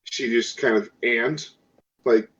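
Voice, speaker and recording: A man's voice: mid-range voice, takes it slowly, a bit bright. A man chatting casually as a player in a tabletop role-playing game. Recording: dull lo-fi audio.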